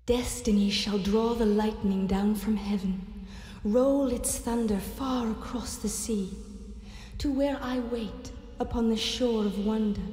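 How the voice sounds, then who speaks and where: A woman's voice: fairly high pitch, steady tone, slow pace, mellow. An actress playing a solemn, ghostly mother who recites a poetic prophecy in an adventure game. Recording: long cavernous reverb.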